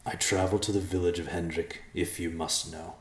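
A man voicing a stoic, curt magician messenger in a fantasy story, terse and formal, with a quietly dangerous air. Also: sits low, little pitch movement, moderate pace, roomy sound, close to an everyday voice. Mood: curt, guarded.

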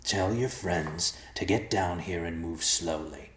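Storybook villain: male narrator as gravelly, slow-spoken, threatening grouch in a roomy space. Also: deep voice. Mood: menacing. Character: gravelly-voiced menacing, irritable stranger lurking in darkness.

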